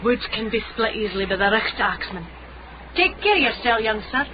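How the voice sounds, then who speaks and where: A woman's voice: a little high, big pitch swings, a little fast. A woman acting a bold, sly, flirtatious Scottish street woman in a radio drama, teasing and insinuating. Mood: saucy teasing. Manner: saucy, insinuating. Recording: dull, noisy radio drama recording.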